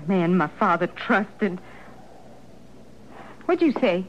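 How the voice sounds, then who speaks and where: Bitter frontier daughter, muffled old radio. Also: female voice, fairly low pitch, animated, slow pace. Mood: wary, wavering. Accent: American. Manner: curt, accusing, questioning. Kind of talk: old-time radio western acting. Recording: dull, noisy vintage radio recording.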